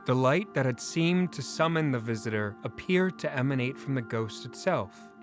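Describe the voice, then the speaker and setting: A man narrating a scripted dramatic story in an expressive, deliberate way. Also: medium pitch, over music.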